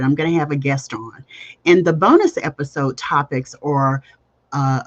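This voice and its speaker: A woman's voice: low-pitched, fairly bright tone, dull audio. A woman hosting a coaching podcast livestream, speaking emphatically, repeating key phrases and putting direct questions to her listeners. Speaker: podcast host and business coach.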